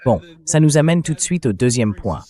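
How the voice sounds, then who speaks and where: A man's voice: medium pitch, very animated, fairly quick, mellow. An AI-generated male voice reading a translated voice-over for the host of a political interview.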